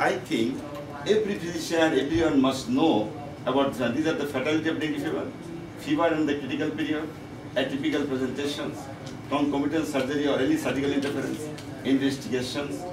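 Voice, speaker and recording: A man with a Bangladeshi accent giving a medical conference lecture as a professor, in halting, repetitive, emphatic phrases. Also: fairly high pitch. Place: conference meeting room. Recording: echoey, noisy room, distant microphone.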